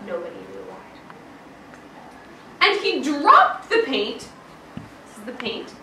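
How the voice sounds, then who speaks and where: A woman primary school teacher reading a picture book aloud to a young class with expressive, emphatic storytelling. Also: fairly high pitch. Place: indoor classroom, children present. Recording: echoey classroom from far off, some noise.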